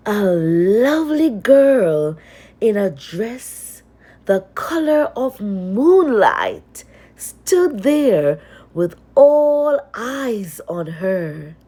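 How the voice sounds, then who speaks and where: Very slow, expressive woman storyteller. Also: mid-range voice, breathy edge. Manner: expressive, dramatic pauses. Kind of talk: scripted children's story narration.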